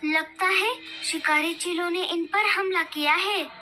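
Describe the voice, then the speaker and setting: A woman acts out an anxious young bird wife for a children's cartoon. Her voice is high and bright, pleading with melodramatic feeling, over faint music. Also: steady tone, a little slow, full character voice. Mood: alarmed.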